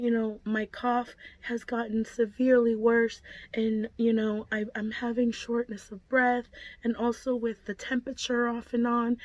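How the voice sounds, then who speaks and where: Hoarse woman losing her voice. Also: a little high, no echo. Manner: earnest, chatty, many fillers. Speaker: vlogger. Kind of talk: casual personal update to viewers.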